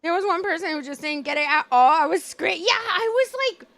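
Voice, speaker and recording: A hoarse young woman chats on a live stream, her voice very high and bright. She tells an animated story, often laughing and acting out what people said. The sound is close and dry. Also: fairly quick, no room sound. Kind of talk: casual live-stream chat.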